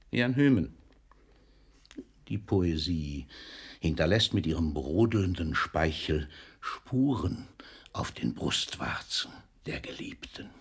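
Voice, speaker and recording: Husky, deep-voiced old poet, theatrical. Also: male voice, full character voice. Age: older. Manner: declamatory, swings between booming outbursts and whispers. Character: older famous poet, theatrical, mocking, fatherly toward a young postman. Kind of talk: German radio drama acting.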